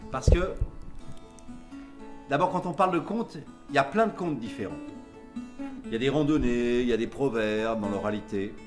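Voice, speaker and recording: A man who tells stories around the world, speaking in an interview with an expressive, lilting delivery. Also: medium pitch, normal speed, long pauses, soft background music. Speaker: storyteller.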